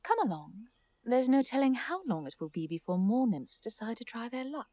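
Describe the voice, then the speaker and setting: A woman's voice: fairly high pitch, sing-song, fairly quick, warm tone, no room sound, clean studio sound. An actress playing a poised, courteous noblewoman in a fantasy audio drama, speaking in a formal, composed way.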